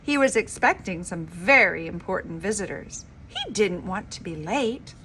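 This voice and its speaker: A woman reading a children's story aloud in an expressive, dramatic, emphatic storybook manner. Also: medium pitch, normal speed, a little hiss or hum. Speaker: reader of a children's book.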